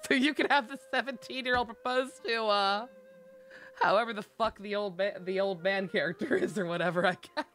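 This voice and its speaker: A woman's voice: medium pitch, a little fast, fairly bright tone. A woman game streamer giving casual, sweary live commentary in a sarcastic, ranting manner. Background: game music.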